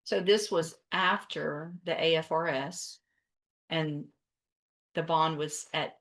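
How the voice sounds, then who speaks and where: A woman judge giving formal rulings and questions from the bench in a brisk, businesslike manner. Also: low voice, fairly even intonation, fairly bright tone.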